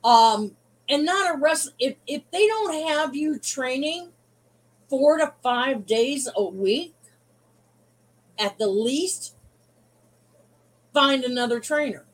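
Casting note: woman in her sixties, ex-wrestler guest, high voice, blunt, no-nonsense talk. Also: animated, slow, pauses often. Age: 60s. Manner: frank, blunt, teasing. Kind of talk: casual interview chat.

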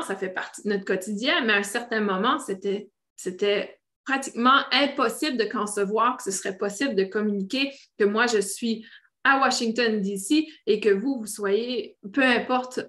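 A woman's voice: medium pitch, fairly bright tone. A woman with a French Canadian accent talking off the cuff to online viewers as a spiritual teacher, often searching for words and repeating phrases. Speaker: spiritual teacher hosting a live stream. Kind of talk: unscripted live talk to online viewers.

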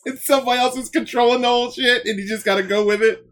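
A man's voice: very high voice, fairly even intonation, fast, a bit bright. A man co-hosting a podcast in casual chat, slangy and enthusiastic. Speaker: podcast co-host. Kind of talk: casual chat, riffing on movie ideas.

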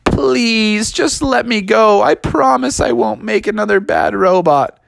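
A man's voice: high voice, unhurried, gravelly, a bit bright, dry recording, clean studio sound, voice pushed up, exaggerated voice. A man voicing a sneaky villain scientist who blusters and begs. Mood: wheedling.

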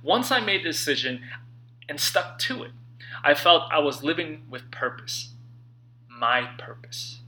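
Male self-help author-narrator: lively swings in pitch, sharp intense tone, emphatic dramatic pauses. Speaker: author reading own book.

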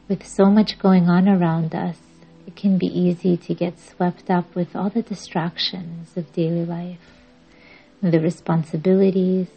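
A woman leads a guided meditation at a very slow pace, leaving long pauses between soothing instructions. Her voice is husky rather than clear. The recording sounds dull and lo-fi, with music playing behind her. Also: fairly low pitch. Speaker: meditation podcast host and guide. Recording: dull lo-fi audio under music.

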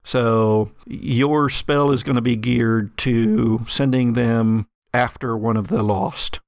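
A man's voice: medium pitch, fairly even intonation, takes it slowly, gravelly, no echo, clean studio sound. A man acting as game master of a tabletop roleplay podcast, improvising narration and in-character dialogue.

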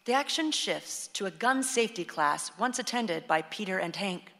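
A woman's voice: mid-range voice, animated, moderate pace, vocal fry, fairly bright tone. Woman narrator in a reverberant hall. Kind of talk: scripted stage-direction narration.

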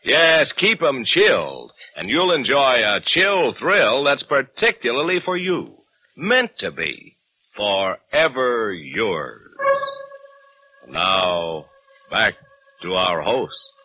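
Lively, bright male vintage radio announcer. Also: mid-range voice, takes it slowly. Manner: polished, emphatic salesmanship. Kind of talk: 1940s radio commercial announcing. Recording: old radio recording, faint noise.

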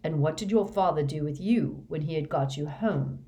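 A woman voicing a calm old male wizard in an audiobook, questioning in a quiet, measured, authoritative way. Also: low-pitched, lightly acted. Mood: quiet, probing. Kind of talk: audiobook character voice.

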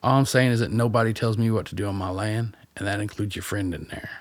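An actor playing a gruff, wry rural guide in a radio play, talking in a blunt, folksy, plain-spoken way. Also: male voice, fairly low pitch, fast talker, dry recording, lightly acted.

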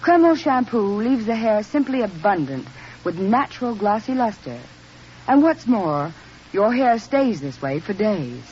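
A woman's voice: mid-range voice, very animated, measured pace, a little mellow. A woman reading a scripted 1940s radio advertisement as a spokeswoman. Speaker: commercial spokeswoman. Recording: muffled, noisy old radio recording.

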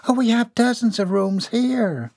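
Man voicing nervous older lady, fluttery, very high, storybook reading. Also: measured pace, creaky, a little mellow, no echo, clean studio sound, exaggerated voice. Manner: fluttery, pleading.

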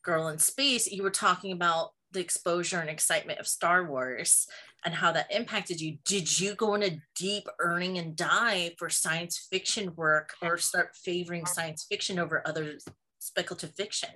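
Low-pitched woman with a very bright voice. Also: fairly even intonation, moderate pace. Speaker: podcast co-host and author. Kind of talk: casual chat.

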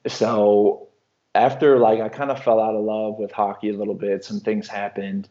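A young man from Illinois answers interview questions in an easygoing, meandering way, circling back and restarting his thoughts. His voice sits fairly low. The sound is a bit dull and lo-fi. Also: normal speed. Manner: relaxed, rambling with many restarts and fillers. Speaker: professional basketball player.

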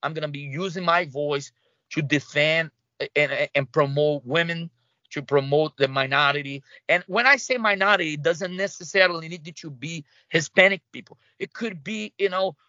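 Man, Brazilian Portuguese accent, Portuguese native speaker, halting, bright tense tone, dull dry lo-fi sound. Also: fairly high pitch, no room sound. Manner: halting, many restarts and fillers. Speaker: guest. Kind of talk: conversational interview.

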